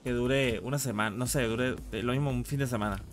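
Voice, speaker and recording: A man's voice: medium pitch, fairly even intonation, fairly quick. A man chatting casually on a live game stream, slangy and sweary as he gripes like a regular player. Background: music.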